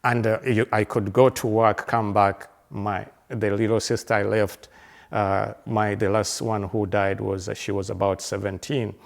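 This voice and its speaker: A man in his fifties from Uganda talks slowly through his thoughts, stopping and restarting phrases. His voice sits fairly low and has a gravelly edge. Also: flat delivery. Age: 50s. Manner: halting, with many fillers, restarts and repeated words; earnest and reflective. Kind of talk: reflective personal conversation.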